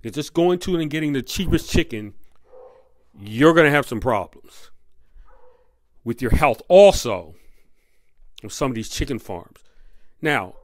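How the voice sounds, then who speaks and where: A man hosting a video, giving unscripted commentary in a halting way, repeating and stressing his points. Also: on the high side. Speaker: video host.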